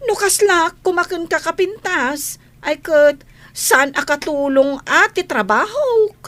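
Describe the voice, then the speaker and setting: A woman's voice: extremely high pitch, expressive, takes it slowly, fairly bright tone, no room sound, full character voice. A Filipino woman playing a chatty, teasing senior housemaid in a radio comedy drama. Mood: gossipy. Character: chatty, gossipy older-sister housemaid.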